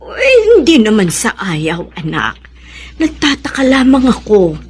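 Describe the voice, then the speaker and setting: An actress with a Filipino accent playing an older, devout, gently scolding mother in a radio drama, her voice husky. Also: medium pitch, sing-song, unhurried. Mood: puzzled, suspicious.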